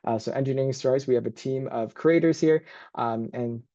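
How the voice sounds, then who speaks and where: Man, webinar host, mellow tone, informal presenting, dry and slightly dull online audio. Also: medium pitch, brisk, no echo. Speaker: university admissions advisor hosting a webinar.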